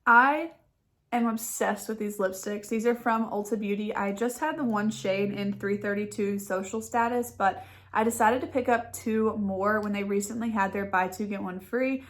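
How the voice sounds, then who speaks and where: A woman's voice: medium pitch, normal speed. Stuffy-nosed woman chatting casually. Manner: chatty and relaxed, with small laughs. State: stuffy nose from a head cold. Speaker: beauty vlogger. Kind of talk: casual product review. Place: living room couch at home. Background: dog making noise nearby.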